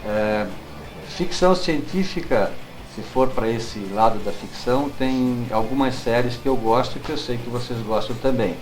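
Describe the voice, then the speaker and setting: A middle-aged man with a Brazilian accent, reminiscing as an interview guest in an unhurried, halting way, searching for his words. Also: medium pitch, darkish tone, faint music behind, low-quality recording. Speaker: guest writer.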